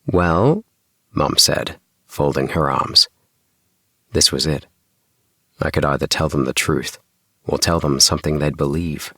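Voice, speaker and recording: Very deep, creaky-voiced male storyteller. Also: little pitch movement, a little slow, pauses often, bright, forceful tone, no room sound, studio quality. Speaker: audiobook narrator. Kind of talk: children's bedtime story narration, first person. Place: small home recording booth. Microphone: close mic in small DIY recording booth.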